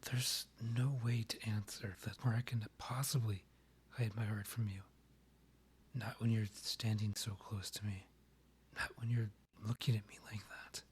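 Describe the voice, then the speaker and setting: A man playing a proud, guarded vampire king in a romantic ASMR roleplay, with a breathy voice often near a whisper and a formal, courtly, halting manner. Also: on the low side, slight background noise, close to an everyday voice. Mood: flustered, guarded.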